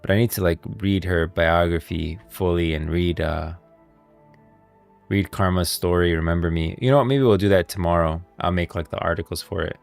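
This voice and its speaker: A man's voice: low-pitched, steady tone, creaky, faint music behind. A man doing casual live-stream commentary on game lore, rambling and thinking aloud as he goes. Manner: rambling, thinking aloud, pauses to read out lines.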